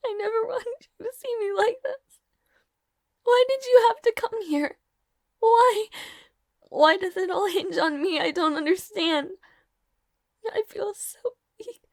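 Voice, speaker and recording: Woman acting a very high-voiced, fretful young maid. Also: no echo, studio quality, exaggerated voice. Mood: anguished. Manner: halting, stammering, breathy pleading delivery. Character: nervous, lovestruck young maid, tearful and self-deprecating. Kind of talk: emotional romantic audio roleplay acting.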